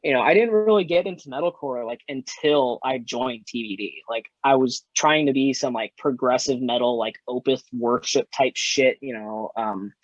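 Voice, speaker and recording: Young male musician guest, twenties, mid pitch, rambling profane chat, dry and slightly muffled recording. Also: moderate pace, hardly any pauses, no echo. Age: 20s. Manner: rambling, frequent "like" and "you know", swears freely. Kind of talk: casual chat.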